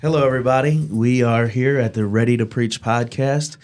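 Young guy in his 20s, church podcast host, earnest, prays and chats, mid-pitched voice. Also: steady tone, a little fast, darkish tone. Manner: earnest, repeats "God" between phrases. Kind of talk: casual church podcast chat with opening prayer.

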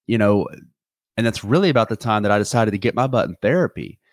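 Young man, lowish voice, earnest and rambling, solo podcast talk, dry close sound. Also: lively intonation, quick pace, no room sound. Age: 20s. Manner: earnest, rambling, many restarts and fillers. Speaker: podcast host. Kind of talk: reflective solo podcast monologue.

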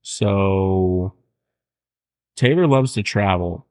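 A man's voice: low-pitched, drawn-out words, darkish tone. A young man hosting a podcast, chatting casually with a friend, joking around and laughing often. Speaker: podcast host.

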